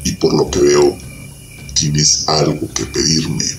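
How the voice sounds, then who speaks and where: Very deep devil voiced by a man. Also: level tone, slow pace, creaky, faint music behind, exaggerated voice. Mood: ominous, commanding. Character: infernal spirit, the devil offering a soul pact.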